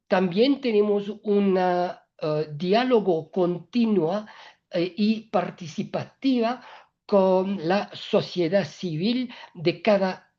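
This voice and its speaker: A woman for whom Spanish is not a first language gives a prepared talk at a business panel, stopping often on filler sounds and restarting phrases. Her voice is low and mellow, and the recording sounds dull and muffled. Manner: halting, many filler sounds and restarts, non-native grammar slips. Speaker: EU trade representative speaking at a business panel. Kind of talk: hesitant panel talk on trade policy.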